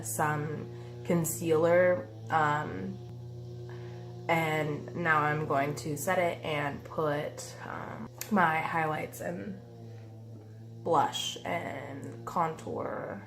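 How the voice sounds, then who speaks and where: Stuffy-nosed woman doing a makeup tutorial, low voice, lots of ums, close camera mic. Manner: chatty, with many ums and uhs. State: nasal from a cold. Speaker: beauty vlogger. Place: bedroom by a window, indoors. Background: faint music and weed eater noise.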